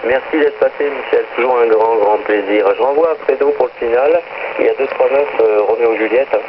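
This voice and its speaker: A man's voice: medium pitch, a little fast. Chatty man on a noisy radio link. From northern Paris area, France. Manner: chatty, friendly sign-offs and greetings. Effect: two-way radio transmission. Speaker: amateur radio operator. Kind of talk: casual radio contact chat with operator jargon. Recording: noisy, muffled shortwave radio transmission.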